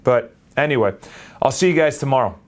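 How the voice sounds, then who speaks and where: A man in his late twenties talking casually into his phone camera, energetic and rambling, often restarting his sentences. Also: mid-range voice, a little fast. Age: 20s. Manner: energetic, rambling, self-correcting. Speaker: vlogger.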